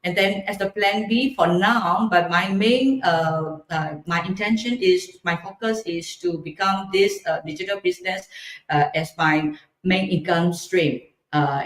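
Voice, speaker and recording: A middle-aged woman from Burma, a guest answering politely in halting sentences with many fillers. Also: on the low side. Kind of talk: casual interview answers. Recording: echoey room.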